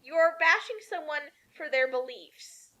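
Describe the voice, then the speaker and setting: Very high, animated, emphatic woman. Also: measured pace. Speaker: co-host of comedic reading. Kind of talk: opinionated riffing on a read-aloud story.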